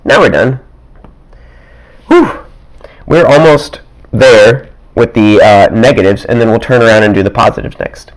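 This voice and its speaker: A man teaching math in a casual, worked-example way, with a raspy, dry-throated voice that keeps clearing, thinking aloud with small chuckles and asides from his desk. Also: medium pitch, unhurried, long pauses, poor audio. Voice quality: raspy, getting rougher.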